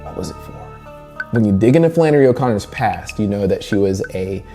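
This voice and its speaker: Low, mellow-voiced man narrating dramatically over music. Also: animated, fairly quick. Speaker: host of a horror literature channel. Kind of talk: scripted video essay narration, storytelling review. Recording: music bed behind the voice. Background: background music.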